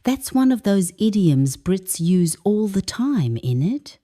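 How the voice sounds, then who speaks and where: Slow, mellow woman narrating. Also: mid-range voice, very animated. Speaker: English teacher narrating a story. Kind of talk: scripted first-person story narration.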